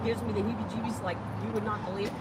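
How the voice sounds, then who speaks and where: A woman's voice: mid-range voice, steady tone, fast talker, crowd noise. A woman in casual, slangy, emphatic conversation, speaking outdoors on a city street. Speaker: supporter visiting a street activist. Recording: outdoors, loud traffic and vehicle noise.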